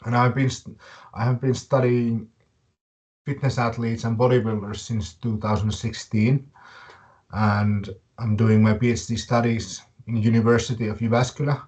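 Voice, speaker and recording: Slow-paced Finnish man presenting. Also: on the low side, a little mellow. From Finland. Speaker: webinar host and fitness academy director. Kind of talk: live webinar presentation.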